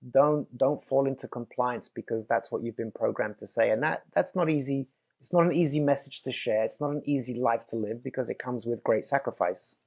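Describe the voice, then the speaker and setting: A man's voice: medium pitch, a little fast, creaky, a little mellow. Earnest man from Belgium. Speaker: podcast guest. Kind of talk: casual conversation.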